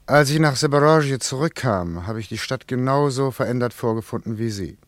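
A man playing a reflective working man in a German radio play, musing in halting phrases. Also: medium pitch, no echo, natural voice. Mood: wistful musing. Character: Ludwig, a reflective working man returned to his childhood town.

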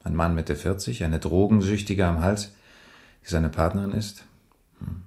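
An actor playing a calm, methodical police major in a radio drama, measured and matter-of-fact with a dry weariness. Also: male voice, low-pitched, vocal fry. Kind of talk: 1980s German radio drama acting.

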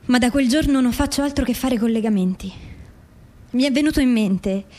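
An actress plays a bereaved mother in a radio drama, her voice on the high side. She testifies with indignant insistence, determined to get at the truth about her son. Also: normal speed, dark tone, close to an everyday voice. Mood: uneasy, suspicious. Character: grieving, resolute mother seeking truth about dead son.

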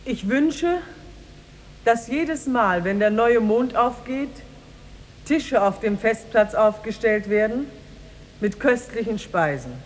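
A woman's voice: on the high side, lively intonation, takes it slowly, a little hiss or hum. Radio play, 1950s: young disguised female ruler, regal, formal, commanding declamation. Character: young former slave woman ruling as disguised sultan, commanding.

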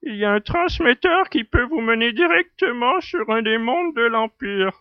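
An actor playing a jovial alien native in a science-fiction audio drama, explaining a cunning plan in measured phrases. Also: medium pitch, expressive, natural voice. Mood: confident, explaining. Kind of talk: French amateur sci-fi audio drama acting. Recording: dull, roomy, radio-like recording.

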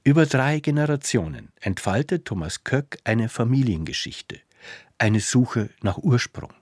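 A man's voice: medium pitch, expressive, measured pace, darkish tone, no echo, studio quality. A man presenting radio plays in scripted narration.

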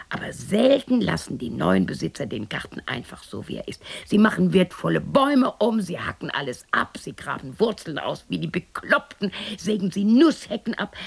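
An actress in a radio drama playing a lonely, eccentric, defiant widow of about sixty who talks to herself theatrically in a husky, breathy voice. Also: female voice, medium pitch, full character voice. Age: 60s. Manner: theatrical, muttering to herself, swinging into shouts. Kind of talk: radio drama monologue acting.

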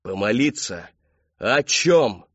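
A man's voice: sits low, steady tone, measured pace, darkish tone, dry recording, lo-fi sound. A man voicing a furious, domineering middle-aged father in an audiobook, barking accusing demands. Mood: seething.

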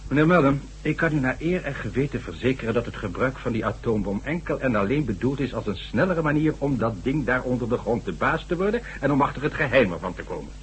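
An actor playing a formal, dismissive government minister in a radio drama, with a condescending, authoritative manner. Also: male voice, medium pitch, animated, fast, hardly any pauses, lightly acted. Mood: firm, dismissive. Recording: noisy, humming old radio recording.

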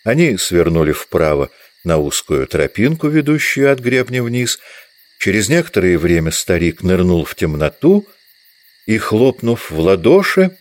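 A man narrating a literary audio drama as a measured storyteller, reading from a script. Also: low voice, sing-song.

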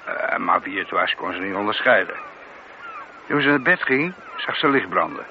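A low-pitched police sergeant in a radio drama. He is mild and respectful, yet politely doubting, and speaks matter-of-factly on a muffled recording with some background noise. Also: expressive, normal speed, vocal fry. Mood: matter-of-fact, reporting. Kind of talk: radio play acting.

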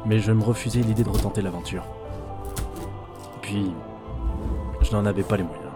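A man's voice: fairly low pitch, steady tone, moderate pace, loud music behind the voice, natural voice. A man playing a disillusioned office worker who broods and mutters to himself in a French audio drama. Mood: brooding. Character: weary, disillusioned male office worker, former gamer.

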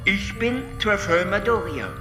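A woman's voice: mid-range voice, lively intonation, natural voice. Stuttering alien played by a woman in long reverb. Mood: detached, explanatory. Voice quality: stutters, sticking on syllables. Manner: measured, detached, lecturing. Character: calm, all-knowing alien from Tralfamadore explaining time. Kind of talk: radio drama acting. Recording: long reverb, music behind.

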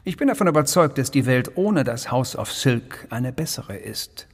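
Husky, pompous criminal mastermind, echoing hall. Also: male voice, medium pitch, expressive. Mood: lofty, controlled. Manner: pompous, deliberate, precise. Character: cold, cultured criminal mastermind, menacingly calm and self-important. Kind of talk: radio drama acting.

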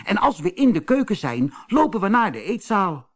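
A man puts on an excitable little storybook mole. The voice jumps high and swings about, eager and full of bold bravado. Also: moderate pace. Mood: excited. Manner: eager, exclaiming. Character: excitable, boastful, brave little male mole.

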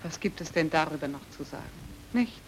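An actress playing a grieving, resigned young stage actress in an old German radio drama, understated, guarded and fatalistic. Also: female voice, low voice, expressive, fairly quick, slight background noise, rough recording. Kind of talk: 1950s-60s German radio drama acting. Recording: old radio play with music behind.

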